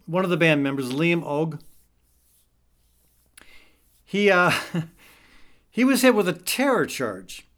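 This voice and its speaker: Chatty Midwestern radio host, fairly high. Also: male voice, a bit bright. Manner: rambling, with frequent fillers and restarts. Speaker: radio talk show host. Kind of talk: casual radio commentary.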